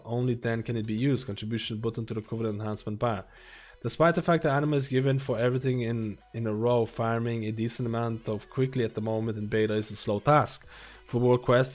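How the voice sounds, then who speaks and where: A man's voice: mid-range voice, darkish tone. Casual male streamer reading aloud. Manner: reads aloud haltingly, restarts words, casual asides. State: losing his voice. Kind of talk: live-stream chat, reading a guide and viewer questions aloud.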